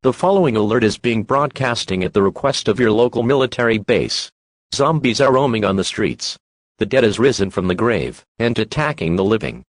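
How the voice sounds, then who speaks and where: A man reading a scripted emergency alert broadcast in a steady, formal announcing manner. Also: fairly low pitch, a little fast, dry recording. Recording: music playing behind.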